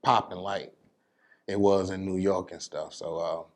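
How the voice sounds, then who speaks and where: A man's voice: low-pitched, fairly even intonation, a little slow. A middle-aged American man chatting casually as an interview guest, telling his story in a laid-back, plain-spoken way.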